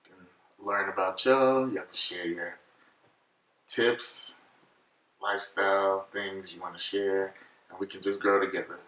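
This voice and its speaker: A man, chatting in a laid-back, halting way with his partner on a vlog. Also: low voice, steady tone, a bit bright, far from the mic. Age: 20s. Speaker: vlog co-host. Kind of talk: casual couple chat. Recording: roomy, mic set back.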